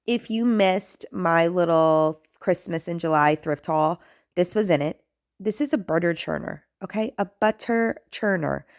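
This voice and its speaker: Thirties mom vlogger, gravelly and nasal, rambling and self-deprecating, lowish voice, dry close sound. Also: female voice, a little slow, no echo. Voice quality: raspy and nasal. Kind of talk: chatty voice-over narration.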